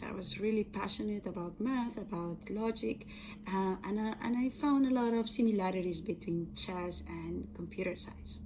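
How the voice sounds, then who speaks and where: A woman's voice: mid-range voice, darkish tone, a little hiss or hum, rough recording. A woman in her thirties from Colombia with a Colombian Spanish accent, giving an earnest personal talk about her career with frequent fillers and restarts. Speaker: software engineer and data scientist.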